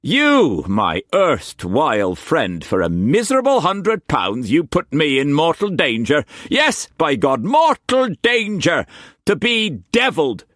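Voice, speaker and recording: A flamboyant old scrounging painter. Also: male voice, high-pitched, fairly bright tone, no room sound, clean studio sound, voice pushed up, full character voice. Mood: outraged. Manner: expansive, exclamatory, grandly theatrical.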